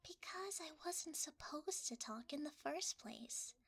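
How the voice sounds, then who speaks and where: A woman voicing a sweet, nervous blanket mimic in soft, breathy, stammering ASMR. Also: sits high, animated, measured pace, exaggerated voice. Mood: coaxing, sheepish. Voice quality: soft, breathy, often whispered. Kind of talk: whispery ASMR roleplay.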